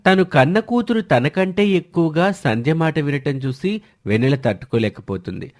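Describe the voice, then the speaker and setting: A man's voice: on the high side, animated, moderate pace. A man narrating a scripted family story as the storyteller.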